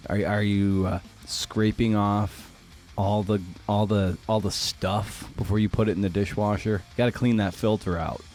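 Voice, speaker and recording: A man's voice: lowish voice, level tone, moderate pace, a little mellow, soft background music. A man hosting a podcast in a casual, wry style, often posing rhetorical questions. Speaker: podcast host. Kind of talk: casual podcast monologue and interviewing.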